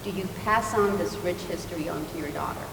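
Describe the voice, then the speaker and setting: A woman's voice: fairly quick, creaky. A woman moderating a panel, reading the introductions and asking the questions. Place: large event hall. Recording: distant, very echoey hall with rumble.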